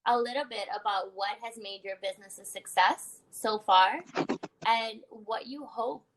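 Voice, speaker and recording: A young adult woman hosting a conversational interview podcast, animated and reflective, laughing often. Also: on the high side, moderate pace, poor audio. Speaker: podcast host.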